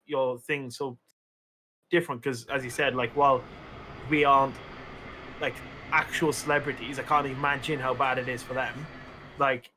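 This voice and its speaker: A man's voice: mid-range voice, sharp tone, rough recording. A young Welsh man, a streamer, chatting casually on a podcast with lots of fillers and restarts. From Wales. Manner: rambling, many fillers and restarts.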